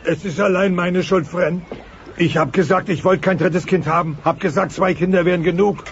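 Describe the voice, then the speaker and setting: Plaintive high-pitched dinosaur dad. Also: male voice, fairly even intonation, normal speed. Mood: remorseful. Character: soft-hearted, sulky dinosaur family father. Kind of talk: sitcom-like children's audio play. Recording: muffled, noisy cassette-style audio.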